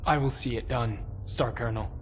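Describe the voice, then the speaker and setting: An actor playing a proud, brooding young officer in a video game, speaking in terse, formal phrases. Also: male voice, medium pitch, a little fast, slight background noise, lightly acted. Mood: dutiful, challenging. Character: proud, brooding male star commander. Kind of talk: dramatic video game acting.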